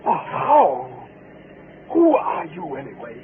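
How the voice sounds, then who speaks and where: A jumpy, guilt-ridden husband in a vintage radio thriller. His pitch swings wildly, and his speech falters into desperate pleading over a scratchy, narrow old recording. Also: huge pitch swings, slow, exaggerated voice. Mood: startled, alarmed. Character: anguished, guilty husband who killed his wife, haunted and jumpy. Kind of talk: 1940s radio drama acting. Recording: scratchy band-limited 1940s radio recording.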